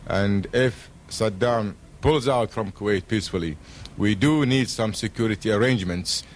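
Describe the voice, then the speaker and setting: A man's voice: fairly low pitch, moderate pace, slight background noise. A man speaking as a radio guest in a formal political discussion, earnest and emphatic, with diplomatic phrasing.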